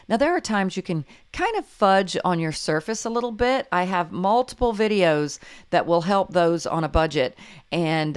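An older woman explains her painting steps in a low, hoarse, slightly raspy voice. She speaks gently and encouragingly, wandering through asides with frequent ums and uhs, like a patient art teacher. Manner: gentle, encouraging, meandering with fillers. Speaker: art instructor. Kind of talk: painting tutorial voice-over.

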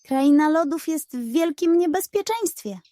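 Woman voicing a high, urgent wise owl. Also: lively intonation, a little slow, dark tone, no echo. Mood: alarmed, grave. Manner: urgent, solemn, emphatic. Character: wise, grave female owl warning of danger. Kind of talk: children's audiobook storytelling.

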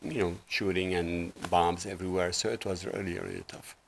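A man's voice: deep voice, fairly even intonation, normal speed, vocal fry, a little hiss or hum. A man in his sixties from Lebanon, a guest life coach telling his story earnestly in a small TV studio, with lots of fillers. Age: 60s. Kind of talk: casual interview talk. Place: small TV studio set, indoors.

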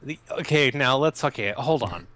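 A man's voice: mid-range voice, lively intonation, moderate pace, a bit bright, no echo. A young man co-hosting a podcast, rambling through crude jokes with many restarts in casual banter. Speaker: podcast co-host.

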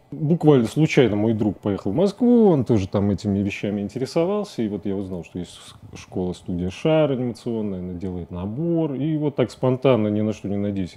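A man in his forties giving halting, thoughtful answers as a guest in a studio interview. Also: fairly low pitch, warm tone, breathy edge. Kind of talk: reflective studio interview talk. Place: indoor TV studio at a desk.

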